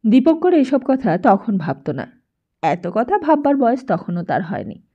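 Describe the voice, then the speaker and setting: Casting: female audiobook narrator, expressive literary storytelling, higher-pitched voice, slightly muffled lo-fi recording. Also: unhurried.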